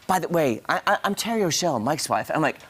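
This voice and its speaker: A man's voice: a little high, sing-song, quick pace, a bit bright, husky. A man hosting a morning TV show, chatting casually with a guest and laughing often. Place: TV studio.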